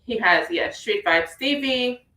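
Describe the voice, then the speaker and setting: A woman's voice: on the high side, animated, a little slow, pushed and bright, roomy sound. Chatty Trinidadian woman hosting talk radio. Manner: chatty, playful, laughs easily. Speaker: radio show host. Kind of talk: casual talk radio chat.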